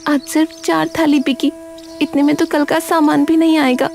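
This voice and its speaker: A woman acts out a young cartoon food seller, hard-working and earnest. Her voice sits high and carries open, expressive emotion. Music plays clearly behind her. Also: moderate pace. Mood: despairing. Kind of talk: cartoon story character dialogue.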